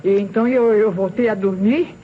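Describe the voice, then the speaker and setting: A woman's voice: mid-range voice, moderate pace, slight background noise, close to an everyday voice. A woman playing a nervous, deferential housemaid in an old radio mystery, stammering and repeating herself with a Brazilian accent. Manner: stammering, repetitive, servile. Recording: muffled old radio recording, music.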